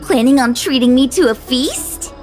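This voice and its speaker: A woman's voice: high-pitched, animated, moderate pace. A young woman voicing a perky, chatty, slightly lazy young character in a video game, with a breezy colloquial delivery. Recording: studio voice over faint game music. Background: soft game music.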